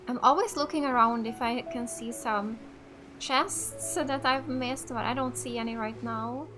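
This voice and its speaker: A woman's voice: on the high side, unhurried, background noise. A woman from Finland, a native Finnish speaker, giving casual let's play commentary in an offhand way. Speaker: gaming video host.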